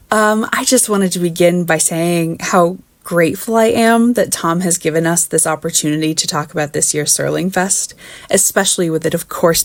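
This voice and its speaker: A woman's voice: medium pitch, no room sound. A woman in her twenties giving a prepared personal testimonial as a fan, speaking in an articulate, earnest and appreciative way. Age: 20s. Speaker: fan sending in a recorded clip.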